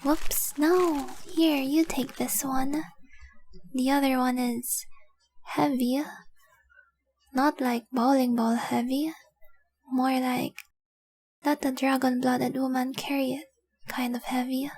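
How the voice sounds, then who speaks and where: Woman acting a high, breathy, adoring dragon girl. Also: little pitch movement, slow pace, long pauses, darkish tone, heavily acted. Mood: earnest, warm. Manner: tender, breathy, often dropping to a whisper, lingering on words. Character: adoring, possessive dragon-blooded young woman, engaged girlfriend. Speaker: audio roleplay performer. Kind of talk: intimate romantic audio roleplay, addressed to the listener.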